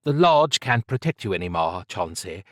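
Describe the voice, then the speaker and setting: A man's voice: low voice, big pitch swings, moderate pace, no echo, clean studio sound. A man voicing a tough, sardonic woman government agent, pointed and needling, in an audiobook. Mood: coolly threatening.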